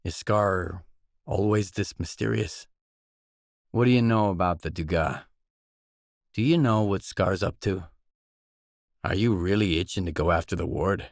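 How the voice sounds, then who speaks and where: A wary stalker from a video game, a blunt loner with a low voice. He talks in terse, matter-of-fact questions and short replies. The recording is dry and close, with no room on it. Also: a little slow, vocal fry, pauses often, no echo. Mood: guarded, businesslike. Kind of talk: video game dialogue lines.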